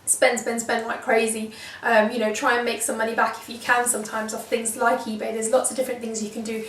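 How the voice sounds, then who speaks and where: A woman in her early thirties from the UK, chatting to camera in a relaxed vlog with lots of ums and repeated words. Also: a little high, brisk, recorded from a distance, a little hiss or hum. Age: 30s. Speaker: lifestyle vlogger. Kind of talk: casual chat to camera. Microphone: shotgun mic on camera. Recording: echoey room, mic set back.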